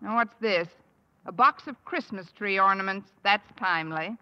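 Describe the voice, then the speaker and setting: An actress playing a wisecracking high school teacher in a 1950s radio sitcom, with dry, sardonic delivery. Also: female voice, moderate pace, close to an everyday voice. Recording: old radio broadcast, dull and noisy.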